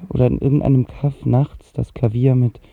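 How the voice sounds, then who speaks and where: A man's voice: medium pitch, little pitch movement, moderate pace, gravelly, no room sound, close to an everyday voice. Man playing a heartbroken teenage boy, narrow-band sound. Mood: downcast. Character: dazed, heartbroken, easygoing teenage son of hippie parents. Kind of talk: radio drama first-person narration. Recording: narrow-band radio recording, music behind.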